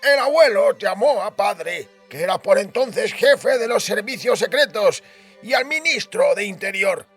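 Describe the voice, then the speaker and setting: Elderly nobleman for audiobook drama, male actor, high-pitched, reminiscing storyteller, music underneath. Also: expressive, a little slow, a bit bright, breathy edge, heavily acted. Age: older. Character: frail old duke fondly recalling his royal grandfather.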